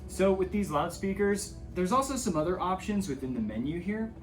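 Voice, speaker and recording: A man's voice: high voice, lively intonation, moderate pace, faint music behind, low-quality recording. A man giving a casual, hands-on gear tutorial, explaining things step by step as an instructor.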